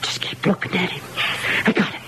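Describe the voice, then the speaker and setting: A man playing a nervous, desperate husband in an old-time radio horror drama, with anxious, halting speech. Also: pushed and bright, a little hiss or hum, lightly acted. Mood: fearful, hushed.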